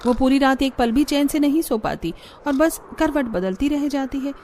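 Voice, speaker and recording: High-voiced woman narrating a cartoon story. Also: normal speed, a little mellow, a little hiss or hum.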